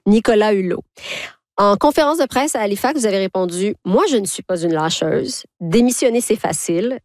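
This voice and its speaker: A woman's voice: medium pitch, darkish tone, no room sound. A woman interviewer putting prepared questions in an articulate, probing way.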